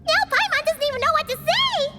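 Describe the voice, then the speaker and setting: A woman voicing a tiny excitable girl companion in a video game, chirpy and calling herself by name. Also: very high-pitched, a little fast, exaggerated voice. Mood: tearful. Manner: chirpy, refers to herself in third person. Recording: game cutscene with music.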